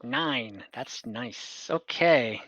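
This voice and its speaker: Male game master, bright dry tone, relaxed, laughs a lot. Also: mid-range voice, dry recording, muffled recording. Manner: relaxed, quick rules checks with little laughs. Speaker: dungeon master. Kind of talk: running an online tabletop game.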